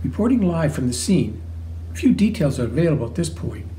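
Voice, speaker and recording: A man voicing a measured, formal television news reporter covering a live event. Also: a little high, very animated, echoey room, very noisy. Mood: urgent. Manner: formal, newsy.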